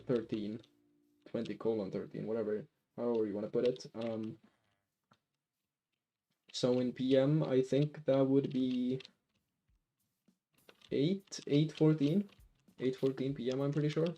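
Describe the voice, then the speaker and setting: Finnish-accented male streamer, mellow tone, faint music behind, loose rambling delivery. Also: mid-range voice, unhurried, pauses often. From Finland. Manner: rambling, lots of restarts and fillers. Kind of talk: casual live-stream chat while gaming.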